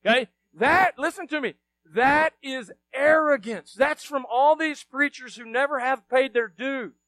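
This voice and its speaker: A man's voice: extremely high pitch, unhurried, creaky, no echo. A middle-aged man, preaching a sermon to his congregation, full of rhetorical questions and sudden emphatic bursts. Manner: shifts between quiet asides and loud emphatic bursts. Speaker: pastor.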